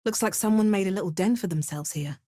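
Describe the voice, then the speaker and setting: A woman playing an adventurous, wry and watchful spellcaster in a video game, with crisp, measured delivery. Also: mid-range voice, warm tone, lightly acted. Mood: watchful, musing. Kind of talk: scripted video game character lines.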